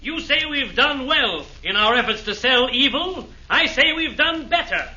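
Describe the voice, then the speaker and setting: An actor playing a hotheaded veteran demon with a brash, quarrelsome manner in a 1940s radio play. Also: male voice, very high voice, expressive, unhurried, pushed and bright, lo-fi sound, exaggerated voice. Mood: boastful.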